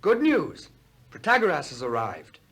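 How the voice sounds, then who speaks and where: An actor playing an eager, earnest young Athenian disciple in a 1960s radio play. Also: male voice, on the high side, wildly swooping pitch, moderate pace, fairly bright tone, slightly rough, heavily acted. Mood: eager, pleading. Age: young adult.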